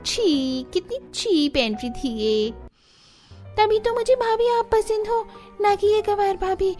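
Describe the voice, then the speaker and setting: A woman voicing a spoiled, snobbish modern young woman with an affected drawl in an animated family drama. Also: very high voice, slow, faint music behind, exaggerated voice. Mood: disdainful. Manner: affected, drawling, mixes in English phrases.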